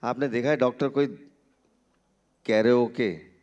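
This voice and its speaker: A man's voice: mid-range voice, steady tone, slow pace, a little mellow, highs cut off. A man, an artist moderating a panel on a conference stage, bantering in a teasing, playful way.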